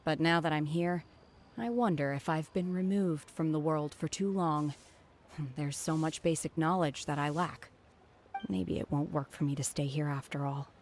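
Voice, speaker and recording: An actress playing a reserved, earnest young woman in a video game, speaking calmly and formally. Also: sits low, moderate pace, a little hiss or hum, natural voice.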